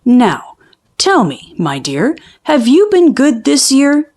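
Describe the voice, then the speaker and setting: A woman voicing a big, kindly old male Santa bear from a children's storybook, in a jolly voice meant to be deep. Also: huge pitch swings, slow, a little mellow, exaggerated voice.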